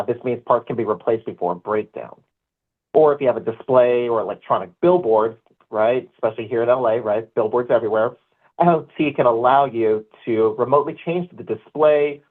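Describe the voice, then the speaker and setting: A man explains technology uses as a panelist on a webinar, filling his sentences with pauses and fillers. His voice comes through a tinny, phone-like line. His pitch sits in the middle of the range.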